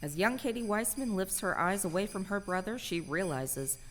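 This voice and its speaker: A woman narrating a scripted radio drama as a storyteller, deliberate and dramatic. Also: medium pitch, lively intonation. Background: music.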